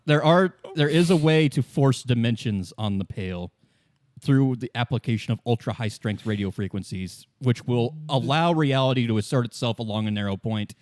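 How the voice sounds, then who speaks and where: A man narrating a tabletop roleplaying game as game master in a casual, halting, conversational way with dry asides. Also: mid-range voice, darkish tone, dry recording.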